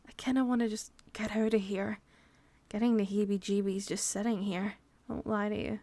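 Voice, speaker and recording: A woman's voice: medium pitch, little pitch movement, normal speed, dark tone, dry recording, close to an everyday voice. A woman acting a frightened young woman stranded with her partner in an improvised horror roleplay, sighing, swearing and sometimes dropping into a whisper. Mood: uneasy, subdued. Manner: breathy sighs, drops into whispers, sweary. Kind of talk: improvised ASMR audio roleplay.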